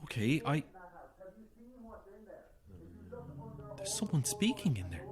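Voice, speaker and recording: An actress playing an anxious, broke young Irish woman in an audio horror drama, talking informally and hesitantly in a husky voice. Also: deep voice, very animated, brisk, crowd noise. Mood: uneasy.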